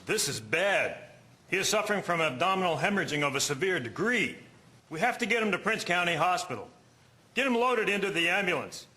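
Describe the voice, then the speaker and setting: A man's voice: high-pitched, big pitch swings, brisk, pushed and bright, heavily acted. A man playing a commanding, urgent military medical officer in an audio drama.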